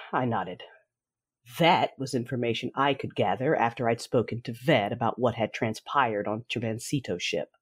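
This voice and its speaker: A woman's voice: extremely low pitch, normal speed, slightly rough. A woman playing a fierce, hot-tempered warrior mother in an audio drama, speaking with intense, controlled and dramatic delivery. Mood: tense, grim. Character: fierce female Force-wielding warrior mother, anguished, hot-tempered, vengeful. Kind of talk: dramatic first-person audio drama narration and acting. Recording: music under the voice. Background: music.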